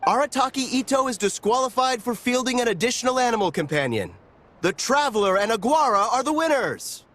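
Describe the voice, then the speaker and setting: A man's voice: extremely high pitch, expressive, brisk, a bit bright, a little hiss or hum. A man playing an officious, stern tournament referee in a video game, speaking in a formal, declarative way. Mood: stern, official.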